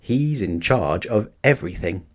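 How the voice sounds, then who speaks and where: A man voicing a kindly father in a children's storybook, calm and explanatory. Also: fairly low pitch, measured pace.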